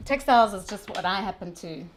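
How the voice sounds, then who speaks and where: A woman's voice: medium pitch, animated, a little fast. A middle-aged woman from South Africa, a brand founder, talking through her technical background in a casual interview with frequent restarts.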